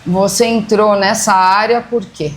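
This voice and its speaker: A woman hosting an interview, asking curious questions and reacting with short, warm remarks. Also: medium pitch, steady tone, measured pace. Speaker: interview host. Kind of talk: casual interview conversation.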